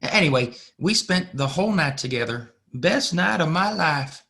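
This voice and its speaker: A man playing a rambling, excitable saloon regular in an Old West audio play, stumbling over his words. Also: on the high side, normal speed, vocal fry, exaggerated voice. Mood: wistful, rambling. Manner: stammering, forgetful rambling. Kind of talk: Western audio play acting.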